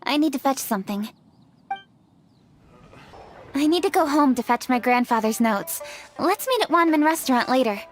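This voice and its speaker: A woman's voice: sits high, lively intonation, moderate pace, long pauses, faint music behind. A composed, formal young noblewoman. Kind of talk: video game voice acting.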